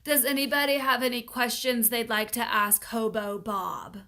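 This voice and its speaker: A woman reads as a storybook schoolteacher, firm and a bit weary. She is measured and instructive, with a bright edge to the voice. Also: fairly high pitch, steady tone, pitched above the performer's own voice. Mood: prompting.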